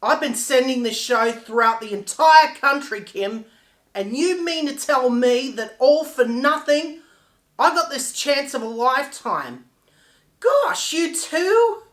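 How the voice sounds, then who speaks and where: A man's voice: extremely high pitch, animated, very slow, long pauses, sharp tone, full character voice. A man playing a desperate struggling theatre performer in an emphatic, theatrical dramatic monologue. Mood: indignant. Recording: echoey room.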